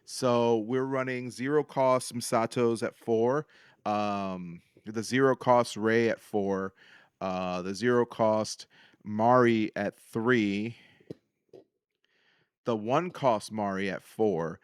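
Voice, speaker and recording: A man's voice: fairly low pitch, steady tone, slow, smooth, no echo, clean studio sound. A man hosting a podcast, casually recapping his hobby in a solo talk. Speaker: podcast host. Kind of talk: casual solo recap of card game decks and matches.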